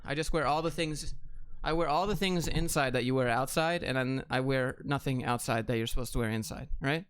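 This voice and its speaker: A man's voice: mid-range voice, brisk, clean tone, no echo. Blunt American man, clean dry sound. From Atlanta, Georgia, United States. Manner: blunt, clipped, offhand answers. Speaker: livestream host. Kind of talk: casual livestream chat answering viewer questions.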